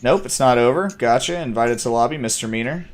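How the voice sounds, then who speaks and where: A man's voice: medium pitch, fairly quick, soft background music. A man hosting a gaming livestream, upbeat and busy, chuckling as he manages players and comments on the match. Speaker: stream host. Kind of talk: casual gaming stream commentary.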